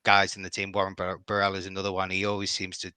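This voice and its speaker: Scouse man, middle-aged, guesting on a fan podcast; bright tone, stumbling repeats, dry close recording. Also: low-pitched, completely flat delivery, quick pace, clear, resonant tone, no room sound. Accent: Liverpool. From Liverpool. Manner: hesitant, repeats words, warm praise. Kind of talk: casual podcast chat.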